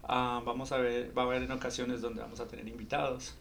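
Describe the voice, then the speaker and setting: Gravelly, even-toned Colombian man hosting a podcast. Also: mid-range voice, quick pace, a little hiss or hum. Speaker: podcast co-host. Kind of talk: casual podcast conversation.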